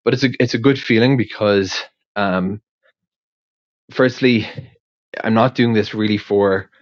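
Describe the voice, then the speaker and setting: Halting, lower-voiced man in a dull recording. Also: no room sound. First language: English. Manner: hesitant, halting, circling back and repeating words. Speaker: podcast creator sending in a question. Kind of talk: casual rambling voice memo.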